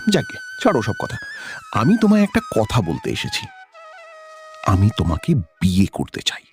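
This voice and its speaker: A man's voice: mid-range voice, wildly swooping pitch, moderate pace, faint music behind. A man playing a proud, insistent young landlord's heir in a cartoon, speaking in a theatrical, emphatic way. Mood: insistent, ardent. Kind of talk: dramatic cartoon voice acting.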